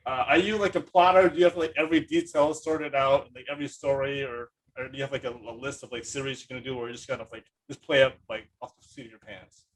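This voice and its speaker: A man hosting a casual podcast chat, talking in a rambling, halting but enthusiastic way. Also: medium pitch, steady tone, rough recording. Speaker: podcast host, writer.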